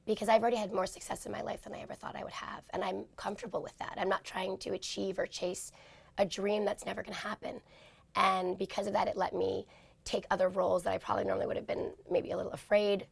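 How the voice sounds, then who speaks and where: Hoarse gravelly woman, 40s, chatty and self-mocking, talking in an interview. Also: mid-range voice, level tone, no echo. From New York. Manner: chatty, self-deprecating, quick asides. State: hoarse, lost voice. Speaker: interview guest. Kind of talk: casual interview talk.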